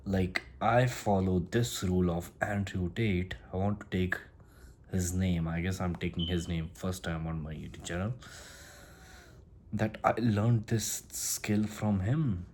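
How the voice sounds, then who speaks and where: Deep-voiced man, non-native English speaker. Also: a little mellow, a little hiss or hum. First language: not English. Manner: rambling, repeats and restarts phrases. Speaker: self-improvement vlogger. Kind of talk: casual motivational monologue.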